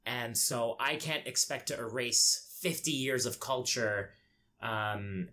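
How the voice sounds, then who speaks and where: A young man from Egypt speaking candidly as a podcast guest, in a reflective, halting way with pauses. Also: mid-range voice, sharp tone. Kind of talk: candid personal interview. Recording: clear background noise.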